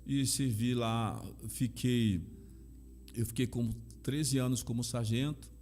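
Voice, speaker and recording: A man's voice: medium pitch, fairly even intonation, slow, a little mellow, soft background music. Bahian man, chaplain guest, emphatic and sincere, repeats phrases. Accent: Bahian Brazilian Portuguese. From Salvador, Bahia, Brazil. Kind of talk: earnest conversational testimony.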